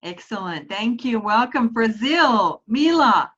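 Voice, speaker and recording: A woman hosting a casual group video call, upbeat and quick to praise each guest. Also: fairly high pitch, expressive, a bit bright, breathy edge. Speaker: host of a team video call. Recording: muffled video call audio.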